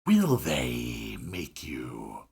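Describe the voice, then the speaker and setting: A man voicing a spacey, blunt teenage girl in a visual novel, soft and breathy, halting and deadpan. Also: rumbling low, voice pushed down, full character voice. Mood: detached curiosity.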